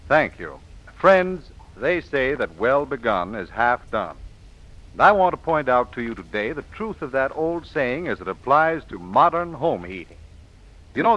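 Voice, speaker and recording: A man giving a scripted 1940s radio advertising pitch as a heating expert, formal, measured and persuasive. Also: fairly bright tone. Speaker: sponsor's home heating expert. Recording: muffled, faintly noisy 1940s radio broadcast.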